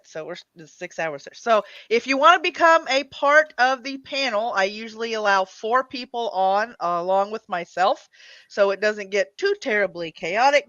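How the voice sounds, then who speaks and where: An informal livestream host with a bright, forward voice at a middling pitch. The delivery is casual and good-humored, on dry but slightly muffled streaming audio. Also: no room sound. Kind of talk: casual livestream chat and announcements.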